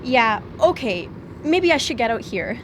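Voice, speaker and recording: Nervous hitchhiker girl in a radio play, slow, swoopy voice, car noise all around. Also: a little high, sing-song. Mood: nervous, polite. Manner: hesitant, halting, polite. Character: young woman hitchhiker, hesitant and uneasy. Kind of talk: live radio drama acting. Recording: clear car noise throughout.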